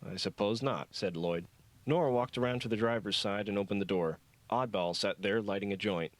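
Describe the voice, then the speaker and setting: A man narrates a novel in a lowish voice. The reading is scripted storytelling, captured very dry with no room sound. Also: fairly quick, no room sound. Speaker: audiobook narrator.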